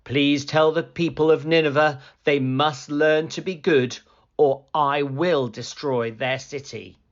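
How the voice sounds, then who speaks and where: A calm, forgiving God, male and patient, as a storybook reader voices him, slow delivery, muffled lo-fi sound. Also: on the high side.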